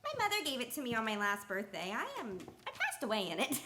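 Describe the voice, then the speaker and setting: A woman's voice: fairly high pitch, wildly swooping pitch, quick pace, sharp tone, slight background noise, heavily acted. A woman playing a cheerful, naive apprentice guardian angel in a radio play, chirpy and exclaiming. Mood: flustered, bright. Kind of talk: stage-style radio play acting.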